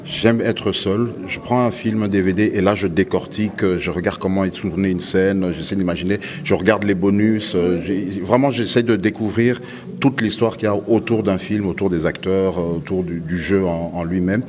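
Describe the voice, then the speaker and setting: Low-voiced, hesitant man being interviewed. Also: steady tone, moderate pace. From born in DR Congo, grew up in Switzerland and Belgium. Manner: hesitant, repeating words as he thinks. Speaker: interview guest. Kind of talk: casual interview talk.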